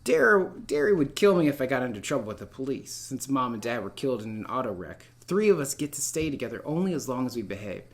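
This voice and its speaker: A man teaching literature in a read-aloud lesson, speaking in an expressive, informal and encouraging way. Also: mid-range voice, fast, hardly any pauses. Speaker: middle school literature teacher. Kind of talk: read-aloud lesson, narrating a novel and addressing students.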